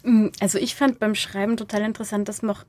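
A woman's voice: medium pitch, level tone, quick pace, darkish tone, no room sound. A woman with a middle-range voice talks reflectively as a writer in an interview. Her sentences run on loosely, and she rewords thoughts while working them out in a casual way. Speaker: playwright interviewed about her radio play.

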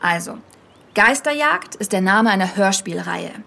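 A woman's voice: mid-range voice, animated, normal speed, creaky, pushed and bright. A woman playing an earnest young podcaster, narrating a fiction podcast in a confiding, conversational way straight to her listeners. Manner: confiding, addressing listeners directly. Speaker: podcast host.

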